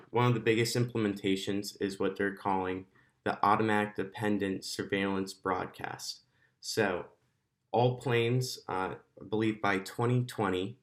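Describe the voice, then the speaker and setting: Low-pitched man giving a presentation. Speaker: student presenter. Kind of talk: explanatory slide presentation.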